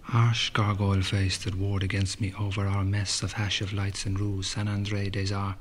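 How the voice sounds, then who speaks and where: Low, husky, brooding young man. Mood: bitter reverie. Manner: musing, clipped fragments, partly whispered. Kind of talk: literary interior monologue.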